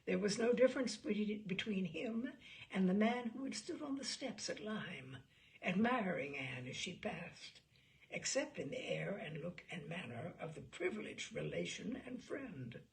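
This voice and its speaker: Female audiobook reader for period novel: creaky, mid-pitched, measured, expressive storyteller. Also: a little hiss or hum, poor audio. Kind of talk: literary narration of a classic novel, voicing dialogue.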